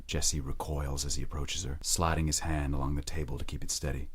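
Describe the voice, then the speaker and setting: Very deep-voiced man narrating drama. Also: little pitch movement, a little fast, breathy edge. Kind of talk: scripted dramatic narration in present tense.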